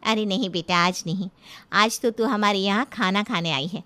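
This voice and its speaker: A woman's voice: mid-range voice, normal speed, sharp tone, natural voice. A woman voicing a middle-aged mother in a cartoon story, a flustered and scolding hostess. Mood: flustered, placating.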